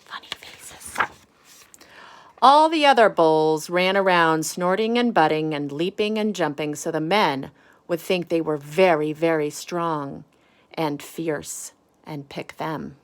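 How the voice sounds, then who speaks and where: A woman reading a children's storybook aloud with casual asides, in deliberate phrases with emphasis on key words. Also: low-pitched. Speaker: storybook reader.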